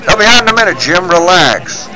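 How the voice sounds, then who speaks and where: Old radio drama editor, voiced by a man: bossy, skeptical, lively high voice over crowd noise. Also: fairly quick, full character voice, rough recording. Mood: reassuring, skeptical. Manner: exclamatory, scolding, authoritative. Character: gruff, bossy, skeptical male newspaper editor. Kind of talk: 1940s radio acting. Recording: clear stadium crowd noise behind.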